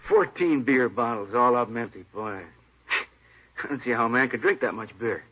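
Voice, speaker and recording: An actor playing a fussy, officious store boss in an old radio drama, emphatic and prone to repeating himself. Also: male voice, medium pitch, lively intonation, normal speed, a little hiss or hum, natural voice. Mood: disapproving, indignant. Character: fussy, disapproving male store boss, talkative with police. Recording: tinny, narrow-band old radio recording.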